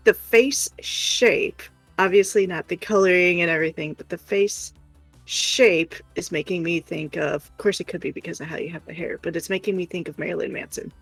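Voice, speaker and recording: Casting: woman, blunt casual sidekick, husky fry-laden voice, dry sound with background music. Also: on the low side, moderate pace, dry recording. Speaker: co-host reading chat comments. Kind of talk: casual chat.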